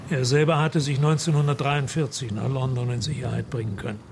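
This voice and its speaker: A well-spoken antiques dealer in a radio crime drama, played by a man. He phrases things formally and carefully in a gravelly, mid-pitched voice, with faint ambience around him. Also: steady tone, brisk, a little mellow, a little hiss or hum, close to an everyday voice. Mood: guarded. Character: measured, well-spoken antiques dealer under police questioning.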